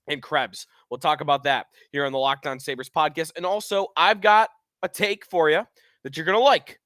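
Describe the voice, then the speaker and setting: A man hosting a sports podcast, talking in an energetic, opinionated, chatty way while getting over a cold and losing his voice. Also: fairly high pitch, no room sound, clean studio sound. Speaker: podcast host.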